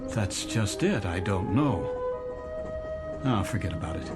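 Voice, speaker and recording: A man's voice: lowish voice, lively intonation, measured pace, loud music behind the voice. An American actor playing a weary, distracted middle-aged commuter in a radio drama, polite and hesitant as he speaks. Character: weary, distracted married male commuter, wistful and evasive.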